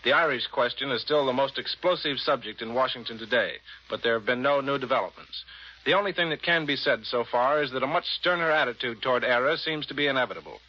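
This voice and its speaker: A man's voice: medium pitch, fast, dull audio. An American man working as a radio news reporter and interviewer, in scripted 1940s broadcast style.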